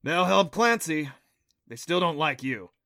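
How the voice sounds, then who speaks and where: A man's voice: a little high, expressive, measured pace, bright, forceful tone, no echo, pitched above the performer's own voice, heavily acted. Proud magical beast, acted by a man, impatient, emphatic, raised insistent voice, fantasy audiobook, close dry sound. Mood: exasperated. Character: proud impatient magical horned beast, male, explaining and scolding. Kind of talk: audiobook character voice.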